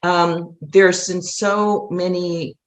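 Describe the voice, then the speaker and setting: A woman's voice: on the low side, level tone, extremely slow, rough recording. A middle-aged woman explaining things earnestly in a casual interview, wandering from one thought to the next. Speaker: theater writer and producer, interview guest.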